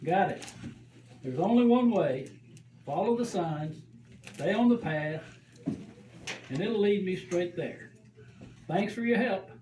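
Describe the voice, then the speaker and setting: An excitable puppet character acted by a performer in a children's church show. The character spins dramatic, exaggerated stories. The sound is distant and echoey, with faint noise behind it. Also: mid-range voice, takes it slowly, long pauses. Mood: upbeat. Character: excitable puppet named Rocky, adventurous, prone to exaggerate. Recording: roomy, distant mic, faint background noise.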